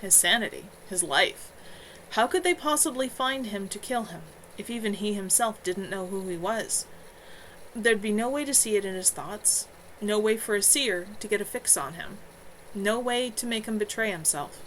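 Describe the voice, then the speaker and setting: A woman's voice: medium pitch, normal speed, fairly bright tone. A woman reading a novel aloud as narration, an amateur author on a livestream from home. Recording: noisy home livestream audio.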